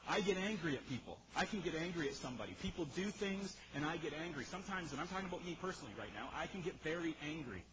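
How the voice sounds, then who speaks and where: A man's voice: on the high side, lively intonation, racing, few pauses. A man preaching an expository sermon in a powerful voice, emphatic yet conversational, putting questions straight to his listeners. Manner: emphatic, conversational, rhetorical questions and direct address. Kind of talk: sermon, expository teaching to a congregation. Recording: muffled sound with clear background noise.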